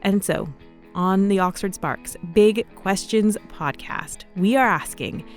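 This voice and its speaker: Female podcast host, mid-range pitch, scripted presenting, faint music bed. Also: slow pace.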